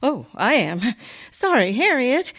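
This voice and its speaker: A woman playing a quick-witted, wisecracking female high school teacher with dry manners in a radio comedy. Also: very animated, fairly bright tone, full character voice. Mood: bluffing. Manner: dry, wisecracking, deferential on the surface. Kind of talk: old-time radio comedy acting.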